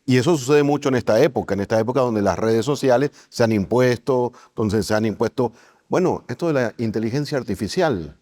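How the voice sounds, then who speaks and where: A man's voice: mid-range voice, expressive, a little fast, warm tone. A man hosting a podcast interview in a conversational style, rephrasing as he forms his questions.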